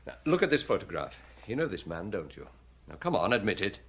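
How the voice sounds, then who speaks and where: A man acting in a British radio detective drama as an insistent, authoritative police chief who questions briskly and with impatience. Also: on the low side, big pitch swings, fairly bright tone, breathy edge, full character voice. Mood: pressing. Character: authoritative male police chief, probing, impatient, menacing interrogator.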